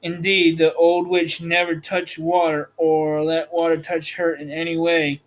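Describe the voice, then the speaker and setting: A man reading a children's story aloud as narrator, with a halting delivery that stumbles over words. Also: a little high, monotone, low-quality recording. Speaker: audiobook narrator and host.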